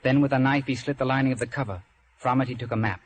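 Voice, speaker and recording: A man's voice: mid-range voice, fairly even intonation, fast, slight background noise, close to an everyday voice. An actor playing a storyteller who narrates his boyhood sea adventure in scripted first person. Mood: storytelling. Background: music.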